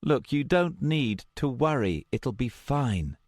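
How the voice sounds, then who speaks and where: A man's voice: medium pitch, sing-song, takes it slowly, darkish tone, no echo, clean studio sound, pitched above the performer's own voice. A man playing an exasperated, protective man in an audiobook story, insistent and reasoning with a snarky streak. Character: exasperated, protective man who can see the future.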